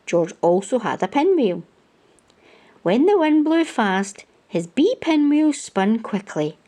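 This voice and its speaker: A woman hosts a storytime, reading a picture book to children. Her voice is soft-edged and mellow at a medium pitch. She moves slowly through the sentences, with lively rises and falls in her storyteller phrasing.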